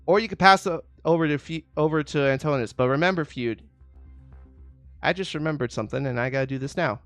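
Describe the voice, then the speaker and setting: Congested game show host, higher man's voice. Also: fast, no echo, soft background music. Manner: showy announcer patter, calls out totals and ladies and gentlemen. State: congested from a summer cold. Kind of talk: hosting an online game show.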